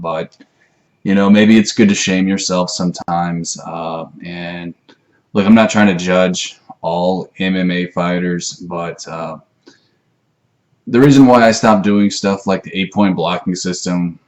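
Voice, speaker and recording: Male, very low register. Amateur tutorial host, unscripted, hesitant and self-effacing. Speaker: amateur martial arts video maker. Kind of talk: rambling informal tutorial to camera. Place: messy room at home.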